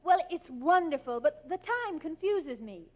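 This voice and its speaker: An actress playing a coy, prim young secretary with genteel, affected diction, in 1940s radio comedy style. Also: female voice, high-pitched, big pitch swings, a little slow. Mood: chatty, prim. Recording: muffled, band-limited 1940s radio recording.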